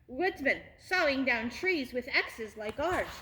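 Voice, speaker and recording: A woman's voice: high voice, slow pace, echoey room, slight background noise, full character voice, low-quality recording. A woman voicing a blustery male crow captain who talks like a pirate, reading a storybook aloud. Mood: urgent. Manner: pirate slang, excited exclaiming.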